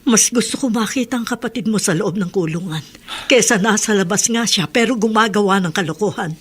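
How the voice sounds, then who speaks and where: An actress with a Filipino accent playing a stern, unyielding middle-aged mother in a radio drama, firm and reproachful, with a husky voice. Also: medium pitch, brisk, lightly acted. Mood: cold, resolute. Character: stern, unyielding mother of two grown sons.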